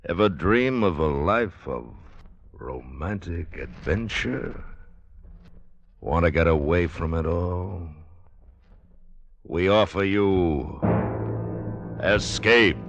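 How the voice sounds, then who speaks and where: Deep voiced old radio announcer guy, slow n ominous, music behind. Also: animated, fairly bright tone, lo-fi sound. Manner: portentous, deliberate. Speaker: program announcer. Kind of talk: dramatic scripted 1950s radio narration. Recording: vintage radio broadcast with music.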